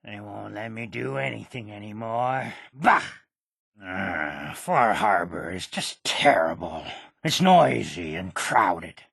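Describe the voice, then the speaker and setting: A man's voice: lowish voice, animated, takes it slowly, vocal fry, a bit bright, husky, dry recording, clean studio sound, heavily acted. An actor playing a cantankerous, defiant old man in a video game, grumbling and curt. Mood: grumpy, annoyed. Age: older. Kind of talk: video game character acting.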